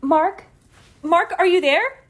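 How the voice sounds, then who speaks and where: A woman's voice: extremely high pitch, slow pace. A woman playing an exasperated yet concerned former partner in an audio drama, speaking with emotive urgency. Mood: alarmed.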